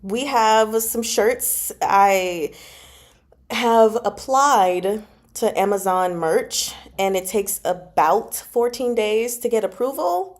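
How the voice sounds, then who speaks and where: A woman's voice: medium pitch, slow pace. Chatty, giggly woman on a livestream. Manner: giggly, animated, chatty, informal. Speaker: host of a book club channel and amateur audiobook narrator. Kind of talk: casual livestream chat, reading out and answering comments.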